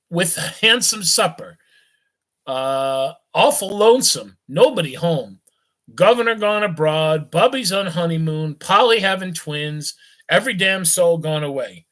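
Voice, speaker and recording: A man's voice: high voice, fairly bright tone, pitched above the performer's own voice, exaggerated voice. A man doing a slurring drunk rich youth. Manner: slurring and hiccuping, lurching tipsy chatter. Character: drunk rich young man, eighteen, jovial and rambling.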